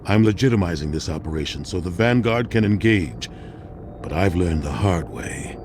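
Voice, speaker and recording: An actor playing a stern, older military commander in a video game, grave and measured, with authority in every phrase. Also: male voice, low voice, expressive, noisy background. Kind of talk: cinematic game dialogue.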